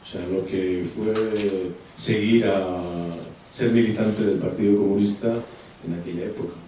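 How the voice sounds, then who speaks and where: A man's voice: on the low side, steady tone, a little slow, warm tone, slight background noise. A man moderating a debate after a film screening, speaking hesitantly with many fillers and restarts. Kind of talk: post-screening Q&A. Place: cinema hall. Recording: very echoey hall from far off.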